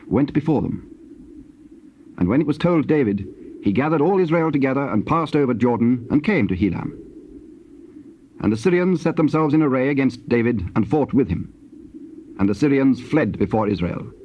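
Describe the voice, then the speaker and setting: A British man narrates King James scripture with solemn, measured delivery. His voice is medium in pitch. The recording is an old cassette, slightly dull and hissy. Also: expressive.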